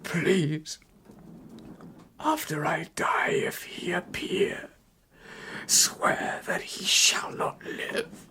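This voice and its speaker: A woman voicing a dying, obsessed scientist in an audiobook drama, gasping, halting and urgent in a weak, tearful whisper. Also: fairly low pitch, huge pitch swings, pushed and bright, heavily acted. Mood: desperate, vengeful. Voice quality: breathy, whispering. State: weak, gasping, near tears.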